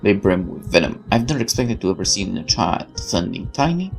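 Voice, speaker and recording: A man's voice: low-pitched, sing-song, fairly quick, vocal fry, soft background music. A man reading horror fiction aloud in a theatrical, intense way, as a gaming host narrating a visual novel. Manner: theatrical, intense, occasional stumbles over words. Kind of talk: dramatic scripted horror narration.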